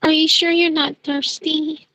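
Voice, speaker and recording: A woman's voice: sits high, level tone, a little slow, bright, forceful tone, dry recording, full character voice, rough recording. A woman playing a stubborn, bossy schoolgirl witch in an amateur audio drama, insistent in manner. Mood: matter-of-fact. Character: Jen, bossy, stubborn schoolgirl witch, fussing over her friend.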